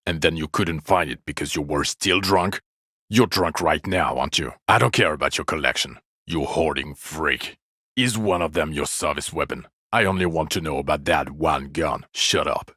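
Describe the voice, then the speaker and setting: An actor playing an exasperated, foul-mouthed police partner in a video game, world-weary, sarcastic and contemptuous in a gravelly voice. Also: male voice, sharp tone, no room sound, clean studio sound, exaggerated voice. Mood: angry, accusing. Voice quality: gravelly, low. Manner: sardonic, weary, contemptuous, deliberate scolding. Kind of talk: video game character voice acting.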